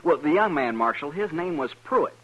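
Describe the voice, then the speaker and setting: An American actor playing a fussy, apologetic married printer in a 1950s radio western, polite, hesitant and wordy. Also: male voice, fairly high pitch, lively intonation, normal speed, lo-fi sound. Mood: anxious.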